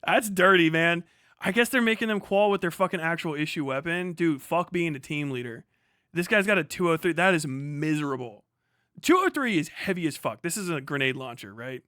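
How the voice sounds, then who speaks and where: A man's voice: fairly high pitch, expressive, fairly quick, pushed and bright, dry recording, clean studio sound. A middle-aged man giving casual, rambling reaction commentary as a livestream host, full of slang and swearing. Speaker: livestream host, former Marine.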